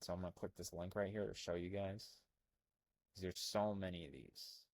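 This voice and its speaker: A young man from Albania who grew up in America, talking over on-screen text in a rambling, animated way full of fillers and restarts. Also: low-pitched, moderate pace, no echo. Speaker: health coach. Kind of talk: casual commentary reading from a screen.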